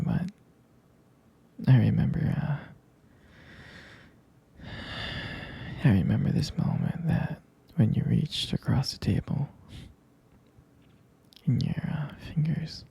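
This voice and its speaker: A man playing a tender, smitten boyfriend in an intimate ASMR roleplay, speaking softly and haltingly in a breathy near-whisper. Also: medium pitch, exaggerated voice. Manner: hesitant, halting, gentle. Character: tender, smitten boyfriend reminiscing about a first date.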